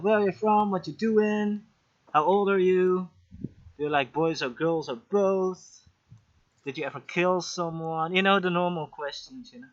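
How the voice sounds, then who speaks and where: A man hosts a casual live stream over a video call, his voice a touch high. He talks in a chatty, joking way, half distracted by technical trouble. The audio is slightly muffled and lo-fi. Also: fairly bright tone. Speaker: host.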